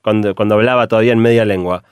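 A middle-aged man with an Argentine Spanish accent, a radio columnist explaining ideas through a steady run of examples. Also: fairly low pitch, rapid-fire, smooth, no echo, studio quality.

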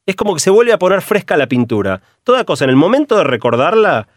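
A middle-aged man with an Argentine Spanish accent, a radio columnist explaining ideas through a steady run of examples. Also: high-pitched, fast talker, no echo, studio quality.

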